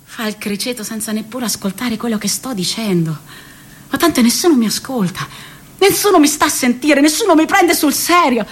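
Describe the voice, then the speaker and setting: A woman's voice: a little high, animated, moderate pace, breathy edge. A woman performing a bitter, cocky thirty-year-old jobless man in a radio theatre monologue, restless and profane, swinging between muttering and outbursts. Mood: desperate, bitter.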